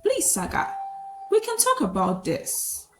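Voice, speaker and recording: A woman's voice: extremely high pitch, wildly swooping pitch, unhurried, noisy background, voice pushed up, heavily acted. A woman voicing a frightened, pleading young mother, a betrayed wife in a folktale.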